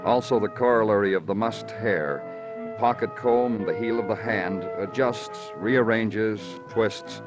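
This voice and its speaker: An American actor playing a weary, poetic New York police lieutenant in old radio crime drama, with terse, brooding delivery. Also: male voice, on the low side, unhurried, close to an everyday voice. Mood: brooding narration. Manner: terse questions, brooding literary narration. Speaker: lead actor and narrator. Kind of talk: 1940s-50s American radio crime drama acting and narration. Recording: vintage radio recording with music.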